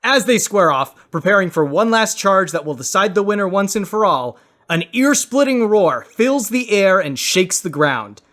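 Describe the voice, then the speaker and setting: A man's voice: high voice, big pitch swings, moderate pace, fairly bright tone. A man moderating a comedy podcast with theatrical, mock-grandiose scripted narration.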